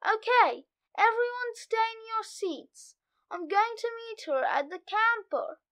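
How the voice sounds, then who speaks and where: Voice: extremely high pitch, animated, unhurried, sharp tone, exaggerated voice. A storybook reader voicing a patient, slightly fussy father frog in a children's comic. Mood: instructing.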